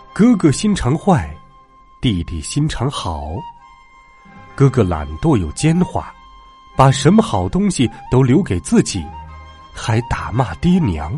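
Guy telling kids a bedtime story, super expressive, slow pace, music playing behind him. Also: mid-range voice. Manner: addresses young children in simple, animated phrases. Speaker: children's bedtime story host. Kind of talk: expressive storytelling narration for children. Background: background music.

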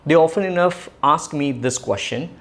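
A man's voice: fairly high pitch, normal speed. A man in his thirties with an Indian English accent, explaining a topic in a casual, chatty video, laughing between points and asking rhetorical questions. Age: 30s. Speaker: online marketing educator.

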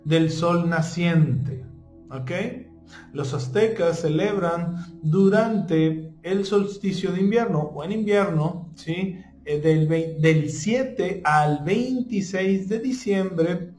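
A man giving an informal spiritual talk, pausing often with fillers and checking in with listeners after nearly every phrase. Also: high-pitched, darkish tone. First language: not Spanish (joking). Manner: halting, many fillers, stumbles over names. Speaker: spiritual teacher. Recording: echoey room with faint music.

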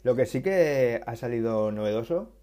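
A man chatting casually about tech news, halting often and correcting himself as he goes. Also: medium pitch, animated, warm tone, a little hiss or hum. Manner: halting, restarts and self-corrections, musing. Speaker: tech vlogger.

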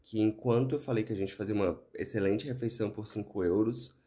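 A Brazilian man with a hoarse voice, hosting a travel podcast in a chatty, upbeat solo talk with playful asides. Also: lowish voice, brisk, dark tone. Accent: Brazilian Portuguese. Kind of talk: casual solo podcast monologue.

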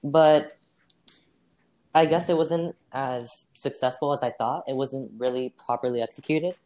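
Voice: mid-range voice, fairly even intonation, unhurried, warm tone. Hesitant guest full of fillers. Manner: hesitant, many fillers, laughs off mistakes. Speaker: interview guest playing a singer. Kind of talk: casual role-played interview.

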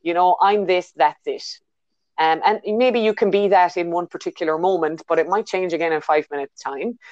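Low-voiced Irish woman on a dry call. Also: normal speed, a little mellow, no room sound, muffled recording. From Ireland. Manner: thoughtful, many fillers and restarts. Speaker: guest, business consultant. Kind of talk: casual interview over a call. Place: bedroom at home.

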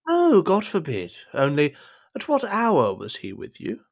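A man playing a scheming, suspicious cardinal in a period audio drama, speaking in a measured, insinuating, courtly manner. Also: sits high, wildly swooping pitch, full character voice. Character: smooth-tongued, scheming, suspicious cardinal and statesman.

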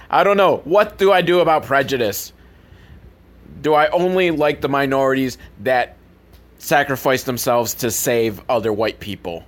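A man delivers a comedic commentary in a casual, ranting style. His pitch moves around in a very lively way, and his manner is sarcastic and sweary, like a podcast host picking a film apart. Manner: sarcastic, profane.

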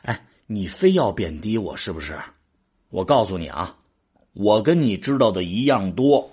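An actor playing a boastful, defensive man bickering with a friend, husky and colloquial, in a sci-fi audio drama. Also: lowish voice, animated, moderate pace, darkish tone. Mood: indignant. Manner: colloquial, grumbling, exasperated.

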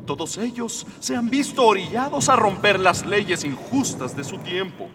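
A man's voice: high-pitched, lively intonation, normal speed, background noise. A man playing an idealistic young rebel prince, earnest and declamatory, in a radio drama. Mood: impassioned. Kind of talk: Spanish-language radio drama acting. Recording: long reverb, music behind.

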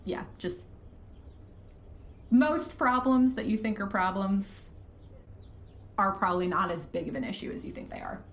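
A woman's voice: mid-range voice, a little slow, pauses often. A woman podcast guest talking casually and candidly, giving informal advice with the odd swear word. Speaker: podcast guest, fiction podcast creator. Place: living room. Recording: roomy echo, faint background noise.

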